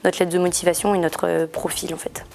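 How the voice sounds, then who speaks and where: Young female student, slightly low voice, hesitant informal interview delivery. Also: level tone, a little fast, gravelly, a little mellow, a little hiss or hum. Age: young adult. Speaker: student back from internship abroad.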